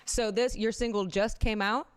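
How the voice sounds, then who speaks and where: A woman from the US West Coast with a low voice, hosting a casual interview with joking, self-deprecating chatter. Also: steady tone, normal speed, smooth, big-room sound. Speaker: host.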